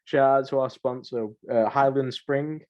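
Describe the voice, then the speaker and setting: A man's voice: medium pitch, steady tone, measured pace, darkish tone, muffled recording. Rambling, joking young man on a podcast. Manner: joking, rambling, slangy, repeats himself. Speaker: podcast co-host. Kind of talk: casual banter.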